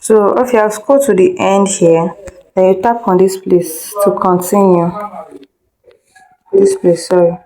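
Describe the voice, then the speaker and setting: A woman's voice: medium pitch, steady tone, a little slow, pauses often, dark tone, poor audio. A woman teaching an informal step-by-step screen tutorial, instructing directly, repeating herself and checking that learners follow. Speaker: video editing instructor.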